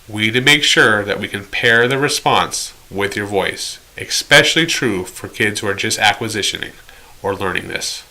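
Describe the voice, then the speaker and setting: A man delivers an explanatory training lecture in a slightly low voice with a very sharp, bright edge. He is direct and instructional, working through examples. He drops in conversational asides as he teaches. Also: moderate pace, poor audio. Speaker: instructor in behavior therapy training.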